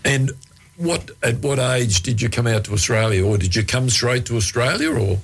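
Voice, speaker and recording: A man's voice: mid-range voice, lively intonation, normal speed, gravelly, husky. A man hosting a community radio interview, introducing his guest and prompting him with short, friendly acknowledgements.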